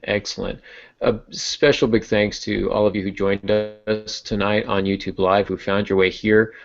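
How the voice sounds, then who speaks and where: A man with a deep voice hosts a casual podcast. He explains things chattily and pokes fun at himself. The audio is dull and lo-fi. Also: little pitch movement, moderate pace, vocal fry, faint music behind. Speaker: podcast host and novelist.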